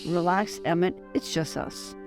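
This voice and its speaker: A woman playing a calm, patient wife and mother in a motion comic. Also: fairly low pitch, measured pace. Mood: gently chiding. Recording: music behind the voice.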